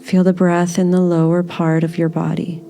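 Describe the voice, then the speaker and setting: A woman leading a guided body scan meditation in a gentle, soothing, unhurried manner. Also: lowish voice, little pitch movement, a little hiss or hum.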